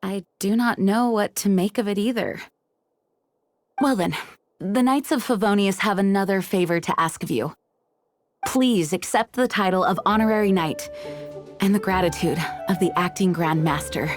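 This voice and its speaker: A woman playing a dutiful young knight commander in a video game, speaking in a firm, measured, formal way. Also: mid-range voice, faint music behind, close to an everyday voice. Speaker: acting leader of knights. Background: game score.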